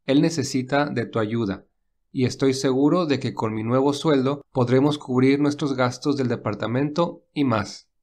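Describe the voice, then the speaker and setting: A man voicing a gentle, protective young man who speaks calmly and reassuringly in a read-aloud story. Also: mid-range voice, normal speed, close to an everyday voice. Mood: calm caring. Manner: calm, reassuring. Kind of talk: audiobook dialogue reading.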